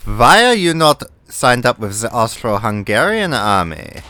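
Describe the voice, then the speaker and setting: A man's voice: medium pitch, sing-song, measured pace, bright, forceful tone, no echo. A man playing a formal, French-hating German sergeant with a put-on German accent during a game. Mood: haughty. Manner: stiff formal speech, no contractions. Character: stern male German sergeant, gravely formal, hates the French. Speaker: game player. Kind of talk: in-character roleplay while gaming.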